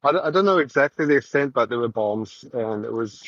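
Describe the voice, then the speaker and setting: A man from New Zealand with a New Zealand accent, chatting casually on a voice call while walking outdoors, halting and full of fillers. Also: medium pitch, expressive, muffled recording, crowd noise. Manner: rambling, halting, many fillers.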